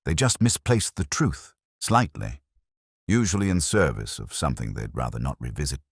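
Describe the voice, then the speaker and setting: A man voicing a calm, dry-witted police inspector in a spoken mystery story, quiet and measured. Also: very deep voice, vocal fry, breathy edge, dry recording, heavily acted.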